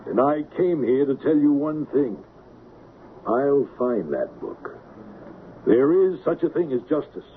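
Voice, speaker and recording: An actor playing a middle-aged, driven police lieutenant with a firm, pointed manner in old-time radio drama. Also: male voice, mid-range voice, very animated, takes it slowly. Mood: accusing, determined. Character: dogged veteran male police lieutenant, righteous, driven. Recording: tinny, band-limited, noisy old radio recording.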